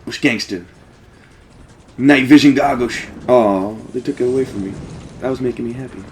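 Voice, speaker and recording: A man's voice: medium pitch, normal speed, a little hiss or hum. A man hosting a Let's Play, giving casual, jokey game commentary with exclamations and swearing.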